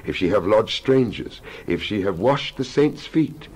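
A man reading scripture aloud in a dramatized style, solemn and declamatory, in measured phrases. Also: lowish voice, expressive, rough recording. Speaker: Bible reader.

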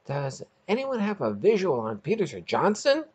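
A man voicing a brisk, authoritative female space captain who barks out orders in a short story reading. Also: high-pitched, huge pitch swings, a little mellow, slightly rough, pitched above the performer's own voice, heavily acted. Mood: urgent. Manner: barking orders.

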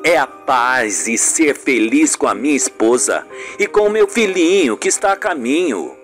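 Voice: medium pitch, sing-song, normal speed, faint music behind, natural voice. A performer voicing an anxious, remorseful man confiding in a friend in an amateur radio soap opera. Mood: uneasy.

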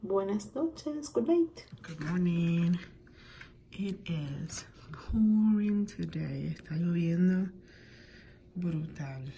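A woman's voice: lowish voice, lively intonation, takes it slowly, creaky, pauses often, mellow, a little hiss or hum. A middle-aged woman, chatting casually to camera at home and switching between Spanish and English. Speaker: vlogger. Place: at home indoors, later in a car. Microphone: phone or camera at arm's length.

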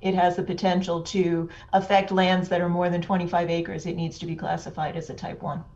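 A woman on a video call calmly explaining procedure to a planning board at a public meeting. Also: fairly low pitch, slight background noise. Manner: measured, explanatory. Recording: dull lo-fi video call audio.

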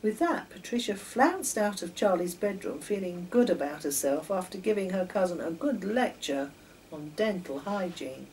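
Older woman reading a storybook, lively pitch. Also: moderate pace. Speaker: author reading her own children's stories. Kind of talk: children's storybook narration. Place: home kitchen, indoors. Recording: distant mic, noticeable background noise.

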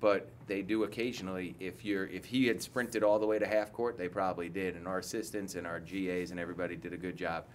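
A man's voice: low voice, steady tone, quick pace, few pauses, a little hiss or hum. A man in his forties, a head coach, giving candid, rambling post-game answers full of restarts, seated at a table mic in an indoor press room.

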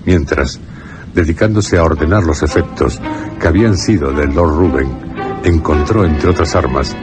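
Very deep-voiced male narrator over music. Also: normal speed. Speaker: radio drama narrator. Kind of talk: scripted suspense narration.

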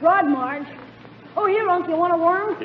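Wisecracking kid nephew, piping high and whiny, old radio comedy through a tinny, noisy broadcast. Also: male voice, moderate pace. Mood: cheeky. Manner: sassy, whiny, slangy. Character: cheeky, impatient young nephew, a wisecracking boy. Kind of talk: 1940s radio comedy acting.

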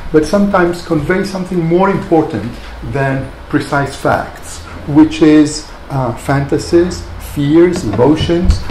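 Male guest lecturer, sixties, from Greece; slightly high, gravelly voice, reflective delivery with pauses. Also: darkish tone. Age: 60s. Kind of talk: academic public lecture. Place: wood-panelled seminar room, indoors. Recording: echoey room, audible background noise.

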